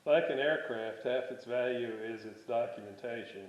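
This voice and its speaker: A man giving an informal workshop explanation in a halting, rambling way, often correcting himself. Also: mid-range voice. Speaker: radio restorer presenting his project. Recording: roomy echo, dull lo-fi sound.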